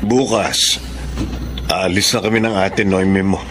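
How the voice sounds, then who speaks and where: A man playing a married older brother, a conciliatory and devoted son, in a Tagalog radio drama with measured, sincere delivery. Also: sits low, steady tone. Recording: noticeable background noise.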